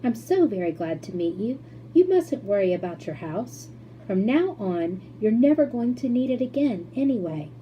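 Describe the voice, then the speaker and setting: A woman voicing a brisk, cheerfully confident eccentric male factory owner in a storybook, decisive and emphatic. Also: mid-range voice, sing-song, muffled recording, a little hiss or hum, close to an everyday voice. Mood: earnest.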